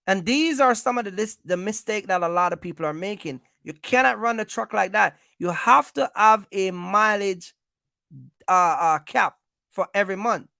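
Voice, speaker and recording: An American livestream coach with a bright, forceful voice that often breaks into creak. The delivery is emphatic and repetitive, like informal advice to followers, and the sound is dry and close. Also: medium pitch, normal speed, no echo. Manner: emphatic, repetitive, checks in with listeners. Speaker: trucking business coach and livestream host.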